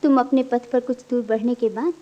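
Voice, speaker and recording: A woman's voice: a little high, steady tone, brisk, close to an everyday voice. A woman playing a pained, restrained young woman in love in a radio play. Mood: pleading. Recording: muffled old radio recording.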